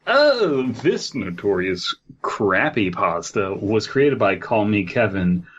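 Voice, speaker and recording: A man's voice: fairly low pitch, big pitch swings, slow pace, a bit bright, muffled recording, a little hiss or hum. A man hosting a podcast, chatting casually with co-hosts and reading a story aloud. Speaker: podcast host.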